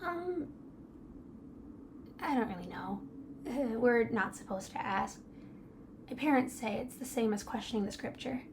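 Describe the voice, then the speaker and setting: A woman voicing a gentle, timid teenage girl who speaks softly and stammers when unsure. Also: on the high side, lively intonation, unhurried, creaky, long pauses. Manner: soft, hesitant, self-doubting, stammers. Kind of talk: audio drama acting. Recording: noisy ambient background.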